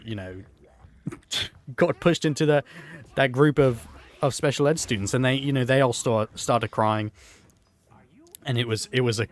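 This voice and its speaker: A hoarse man rambling through an absurd story over gameplay, full of fillers and restarts. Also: mid-range voice. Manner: rambling, filler-heavy, absurd deadpan tales. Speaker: gaming YouTuber.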